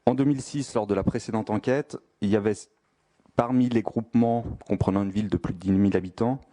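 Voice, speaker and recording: Guy with a lowish soft mellow voice, explaining study figures carefully, formal talk. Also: fairly even intonation, fast. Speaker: presenter of a study. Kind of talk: formal spoken presentation of statistics.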